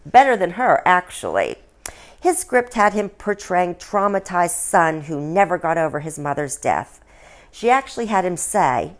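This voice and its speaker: Lady doing a gossipy princess ghost, sly n arch, storybook spoof, really lively pitch. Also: unhurried. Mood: mocking. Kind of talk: storybook dialogue reading.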